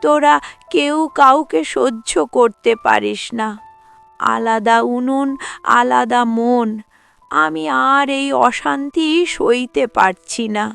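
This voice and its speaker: A woman's voice: high voice, takes it slowly, vocal fry, a bit bright, smooth, soft background music, full character voice. A woman voicing a sorrowful, weary mother-in-law in a dramatic cartoon. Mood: anguished. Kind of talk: slow dramatic cartoon dialogue.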